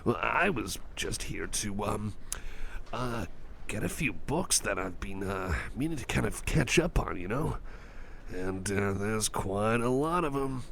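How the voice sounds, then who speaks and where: A man playing a shy, kind, anxious cyborg friend in an ASMR roleplay, speaking softly and breathily with hesitant, reassuring stammers, close to the microphone. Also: lowish voice, lively intonation, a little slow, slight background noise, exaggerated voice. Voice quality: soft, breathy, often whispering. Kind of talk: ASMR roleplay, personal attention.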